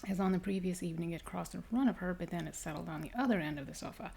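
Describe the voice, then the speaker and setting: A woman reading a story aloud ASMR-style, soft and unhurried, partly whispered. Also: on the low side, gravelly. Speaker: ASMR reader. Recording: faint noise behind the voice.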